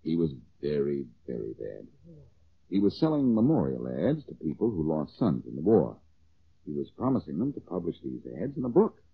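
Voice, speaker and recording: An actor playing a cocky, quick-witted amateur detective with wry self-assurance in a 1940s radio drama. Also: male voice, rumbling low, huge pitch swings, creaky, husky, exaggerated voice. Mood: playful, teasing storytelling. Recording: dull old radio recording.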